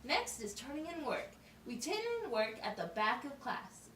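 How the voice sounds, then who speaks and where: A woman's voice: on the high side, animated, moderate pace, fairly bright tone. A woman playing a patient, instructive schoolteacher in an amateur puppet show, explaining things simply and asking checking questions. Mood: firm, instructive. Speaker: teacher. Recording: roomy, distant mic with faint noise.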